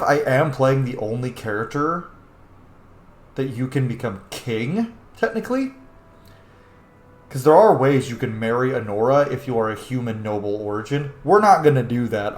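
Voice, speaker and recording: A man giving casual, opinionated gameplay commentary in a chatty, informal way. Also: mid-range voice. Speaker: game streamer. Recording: roomy, echoing microphone sound.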